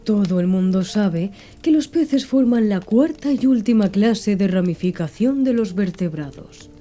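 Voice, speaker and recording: Female ASMR audiobook narrator, very soft dark timbre, expressive intonation, gentle music underneath. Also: mid-range voice. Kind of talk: ASMR scripted literary narration.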